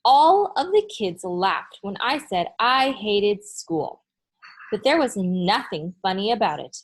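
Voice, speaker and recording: A woman voices an eight-year-old second-grade boy telling his own storybook tale. He is blunt and opinionated about hating school, and he spells out his points slowly and emphatically. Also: mid-range voice, very animated, slightly rough, exaggerated voice. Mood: emphatic complaint. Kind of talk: children's storybook read-aloud.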